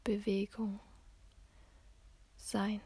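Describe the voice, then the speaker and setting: A woman reciting spoken-word poetry in a hushed, near-whispered voice, measured, with long pauses between short phrases. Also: medium pitch, flat delivery, husky, dry recording. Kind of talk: spoken-word poetry reading, slow and sparse.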